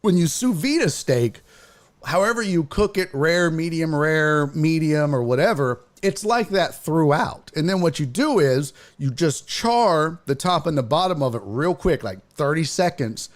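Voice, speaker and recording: A man's voice: on the high side, expressive, measured pace. An American man hosting a livestream, chatting casually with profane, exasperated teasing and comic exaggeration. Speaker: host of a livestream sports talk show. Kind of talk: casual chat, bantering and reading viewer comments.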